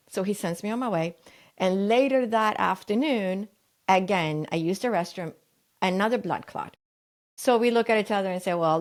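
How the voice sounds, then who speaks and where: A middle-aged woman, raised in Sweden, telling a personal story in an interview and acting out the voices of people she quotes. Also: mid-range voice. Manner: animated retelling, acts out quoted voices. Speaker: guest.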